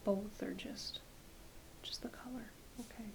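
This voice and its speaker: A woman playing a gentle, reassuring doctor in an ASMR exam roleplay, speaking softly and hesitantly, often half-whispering. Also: medium pitch, a little slow, gravelly, low-quality recording. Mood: calm, reassuring. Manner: soft, half-whispered, hesitant, apologetic bedside talk. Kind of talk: soft-spoken ASMR medical exam roleplay. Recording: faint steady hiss behind close voice.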